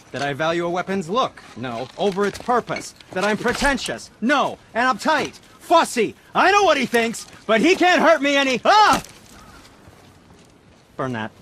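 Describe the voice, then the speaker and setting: Game role: vain, squeamish craftsman, high voice, swooping intonation, prissy muttering and flustered stammers. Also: wildly swooping pitch, unhurried, bright, forceful tone, a little hiss or hum, full character voice. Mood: indignant. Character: fussy, squeamish male craftsman weaponsmith, vain and easily flustered. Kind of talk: video game cutscene acting.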